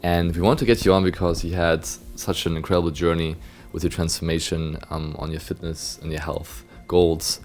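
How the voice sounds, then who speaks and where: A man's voice: sits low, level tone, normal speed, few pauses, a little mellow, faint music behind. A man hosting a testimonial interview over a video call, encouraging and enthusiastic with short affirmations. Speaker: interview host for a coaching company.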